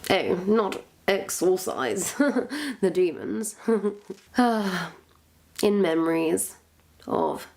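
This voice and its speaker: A woman's voice: mid-range voice, vocal fry, darkish tone. A woman playing a wicked, theatrical witch in a suspenseful roleplay, pompous and florid, savoring each phrase as she toys with a captive. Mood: amused, gloating. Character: wicked, theatrical female witch, smugly toying with her captive. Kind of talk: suspenseful point-of-view roleplay monologue.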